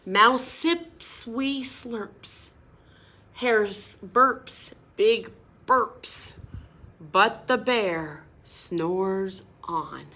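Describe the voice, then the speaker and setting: A woman reading a picture book aloud to children in a sing-song, expressive way, pausing to explain words and ask questions. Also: mid-range voice. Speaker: storybook reader.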